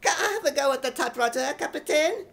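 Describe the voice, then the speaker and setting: A man's voice: very high voice, animated, quick pace, a bit bright, pitched above the performer's own voice, exaggerated voice. A man voicing a naive, boastful schoolboy in a British accent, narrating his own adventures melodramatically in a radio comedy. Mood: eager, melodramatic. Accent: imitated British. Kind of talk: 1950s radio comedy acting.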